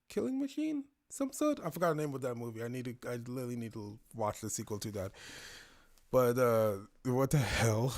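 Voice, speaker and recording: A man's voice: on the low side, a little mellow. Man musing aloud in casual commentary. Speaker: reactor and commentator. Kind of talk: casual spontaneous reaction commentary.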